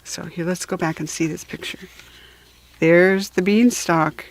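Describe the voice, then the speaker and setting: Slow-paced woman reading a storybook aloud. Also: lowish voice, steady tone, rough recording. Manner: patient, deliberate, chatty asides to listeners. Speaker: story reader. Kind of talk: slow read-aloud storybook narration for beginners.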